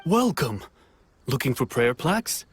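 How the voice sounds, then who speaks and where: A man voicing a courteous, husky festival stall seller in a video game, explaining things politely. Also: medium pitch, very animated, a little slow, faint music behind, full character voice. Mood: welcoming, helpful.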